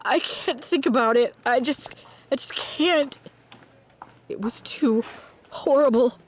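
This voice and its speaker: An actress playing a shaken, caring woman in an audio drama, speaking in a gentle, hesitant way. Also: high voice, takes it slowly. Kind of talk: naturalistic audio drama acting.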